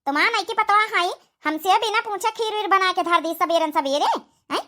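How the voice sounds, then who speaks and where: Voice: extremely high pitch, normal speed, pushed and bright. A performer voicing a bossy, scolding older village mother-in-law in an Awadhi comedy cartoon, domineering and sarcastic. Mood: indignant. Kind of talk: rustic comedy cartoon dialogue.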